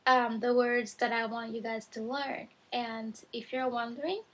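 Young woman, native Japanese speaker, vlog teacher; unhurried, careful delivery that repeats every phrase, bedroom webcam sound. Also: fairly high pitch, fairly even intonation. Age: young adult. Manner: slow, careful, repeats each phrase twice. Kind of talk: casual video lesson. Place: bedroom, indoors. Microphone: webcam at desk.

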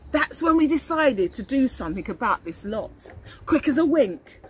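An older woman teacher reading a picture book to young children expressively, pausing to explain and ask questions. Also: sits high, sing-song. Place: outdoors in a leafy garden. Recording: outdoor garden recording with birdsong and noise.

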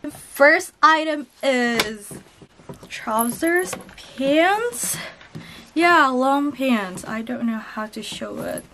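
A young woman with a cold, chatting excitedly as she unboxes and shows clothes in a haul video. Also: sits high, drawn-out words, slight background noise. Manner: chatty and excitable, chuckles at prices. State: has a cold. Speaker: channel host unboxing a lucky bag. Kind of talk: casual haul vlog voice-over. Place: indoors at a table.

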